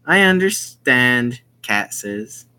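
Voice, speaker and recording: Halting, very slow-paced gamer reading aloud. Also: mid-range voice, sing-song, pushed and bright, smooth. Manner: halting, explains who is speaking as they read. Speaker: gaming video host. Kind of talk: reading a visual novel aloud with casual commentary.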